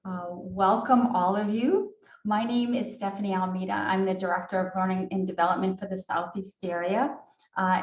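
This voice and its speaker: A woman who directs training, opening a video-call session with polite, measured housekeeping notes and frequent fillers. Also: mid-range voice, a little mellow. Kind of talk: online training welcome and housekeeping. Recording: echoey room, dull lo-fi sound.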